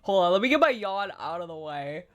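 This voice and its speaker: A woman game streamer chatting casually on a livestream in an animated, exclamatory way. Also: on the low side, a little fast, lo-fi sound. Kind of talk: casual livestream chat, reading game dialogue aloud.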